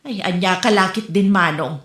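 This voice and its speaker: A woman's voice: medium pitch, normal speed, a bit bright, close to an everyday voice. A woman playing a scolding, exasperated sister with an Ilocano accent in a comedy drama. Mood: nagging. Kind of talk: Ilocano comedy radio-style drama acting. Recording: roomy echo.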